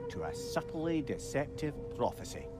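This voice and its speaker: A very animated, fairly high voice of a witty old Scottish sage. He is avuncular, wry and eloquent, a born storyteller in theatrical game style, with music underneath. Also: normal speed. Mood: relishing storyteller. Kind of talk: theatrical video game acting.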